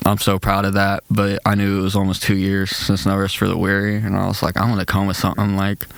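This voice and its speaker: A man's voice: sits low, completely flat delivery, quick pace, gravelly, no room sound. A young man, a rapper and producer, with a nasal voice, talking loosely and casually in slang as a podcast guest, full of "like" and "man", into a close mic. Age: young adult. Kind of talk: casual interview chat.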